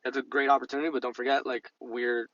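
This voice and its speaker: A man co-hosting a podcast in casual chat, halting and repeating phrases as he thinks, on a slightly dull mic. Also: mid-range voice, flat delivery, a bit bright, no room sound. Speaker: podcast co-host discussing stock investing.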